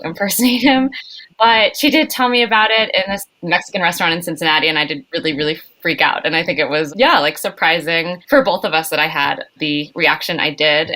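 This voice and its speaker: A woman with a low but bright, forward voice. She talks as a playwright guest in a casual, reflective interview, tentative in her phrasing. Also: rough recording.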